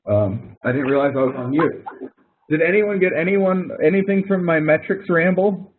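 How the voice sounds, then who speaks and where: A man's voice: a little high, moderate pace, husky. A man leading an informal webinar as an instructor, explaining step by step in a conversational way and correcting himself as he goes. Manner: conversational, self-correcting, talks through examples step by step. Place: online webinar call. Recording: tinny, narrow-band online call audio.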